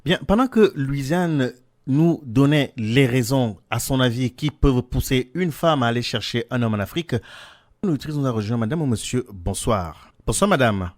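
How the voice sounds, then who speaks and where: A man hosting a radio talk show, formal and measured, steering callers and guests with questions. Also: mid-range voice, lively intonation, a little mellow. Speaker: radio host.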